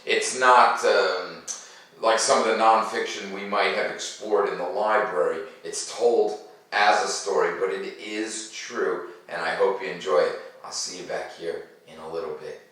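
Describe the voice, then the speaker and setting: A man talking to children like a school teacher, playful and animated, explaining things in simple words. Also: low-pitched, unhurried, far from the mic. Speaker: school teacher hosting a read-aloud for children. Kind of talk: chatty talk to children, then storybook read-aloud. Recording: very echoey room, away from the mic.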